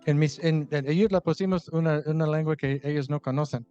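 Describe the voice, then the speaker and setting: A man's voice: fairly high pitch, a little fast, dark tone, clean tone, lo-fi sound, faint music behind. A man leading a Bible translation workshop, explaining patiently, restarting words and asking the group for feedback. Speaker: translation consultant leading a session.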